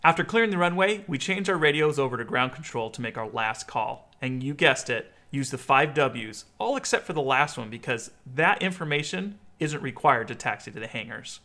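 American man explaining, bright creaky voice. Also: mid-range voice, lively intonation, moderate pace, few pauses. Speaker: flight instruction video host. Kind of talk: instructional explainer to camera.

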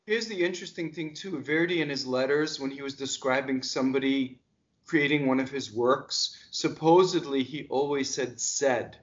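A man's voice: mid-range voice, normal speed. A man in his fifties from the United States, talking animatedly on a video call as a diction coach and asking pointed questions. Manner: energetic, gestures a lot, asks pointed questions. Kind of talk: casual video call discussion. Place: home music room. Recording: dull lo-fi call audio.

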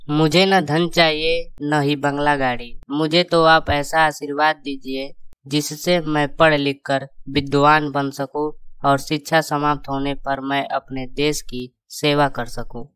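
Woman playing a smooth, low-voiced studious boy. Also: a little slow, no room sound, rough recording. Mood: sincere, resolute. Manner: calm, sincere, polite. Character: studious, selfless boy dreaming of serving his country. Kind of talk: cartoon moral-story acting.

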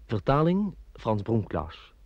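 A man's voice: mid-range voice, wildly swooping pitch, takes it slowly, dry recording. A man working as a radio announcer, formally reading scripted credits in an old broadcast style. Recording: dull old radio recording.

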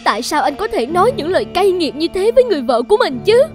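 A plaintive cartoon wife with a very high voice. She is strong and wronged, and speaks with emotional pleading over clearly audible music. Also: big pitch swings, fast, darkish tone. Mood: hurt, pleading. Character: wronged, pleading strong wife with fish scales, later haunting ghost. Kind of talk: Vietnamese cartoon voice acting.

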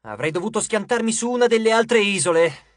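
A man's voice: high voice, lively intonation, fairly quick, no echo, studio quality, voice pushed up. A man voicing a sarcastic, scrappy teenage demigod boy in an audiobook, with a flippant, wisecracking manner. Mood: flippant, irritated. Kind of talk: audiobook character voice.

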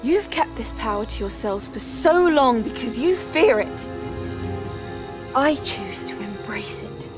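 A woman's voice: on the high side, lively intonation, a little slow, music in the background. An actress playing an ambitious young witch who urges others to embrace a dangerous power, speaking intensely and persuasively.

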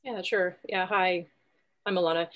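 A woman's voice: fairly low pitch, steady tone, moderate pace, fairly bright tone, lo-fi sound. A woman member of the group chatting casually and rambling a bit over a video call. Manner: rambling, many likes and fillers. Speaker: community member. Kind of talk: casual chat.

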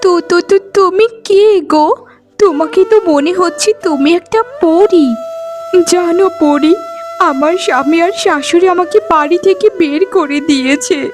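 A woman plays a rejected young wife in a cartoon melodrama. The voice is extremely high, humble and full of lament. Music runs underneath. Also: moderate pace. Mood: plaintive. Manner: plaintive, lamenting.

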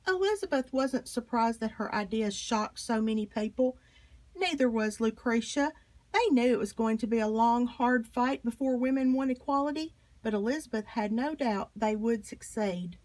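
A woman with a fairly high voice reads a children's picture book aloud. Her phrasing is expressive and emphatic, shaped for young listeners. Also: normal speed, low-quality recording. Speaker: storybook reader.

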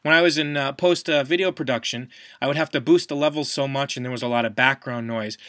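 A man's voice: medium pitch, fast talker, a bit bright, dry recording, studio quality. A man in his thirties giving a casual, plain-spoken, step-by-step demonstration as a host, recorded indoors at a desk. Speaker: podcast host, product demonstrator. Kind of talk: casual instructional video demonstration. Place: small wood-panelled room at home, indoors.

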